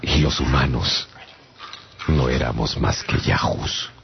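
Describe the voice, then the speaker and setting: A male actor playing an afflicted castaway traveler who tells his story earnestly and dramatically in a gravelly voice, in a radio drama. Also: rumbling low, slow pace, noisy background. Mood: bewildered, earnest. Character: afflicted English castaway man recounting his strange voyages. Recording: dull sound under prominent music. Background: music.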